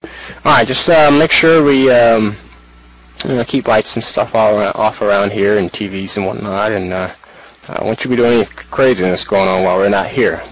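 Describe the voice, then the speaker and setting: A man's voice: fairly low pitch, steady tone, moderate pace, lightly acted, rough recording. A man playing a father about to go out for the night, who talks hesitantly and rambles with lots of fillers. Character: easygoing dad heading out for the night. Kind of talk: amateur skit acting.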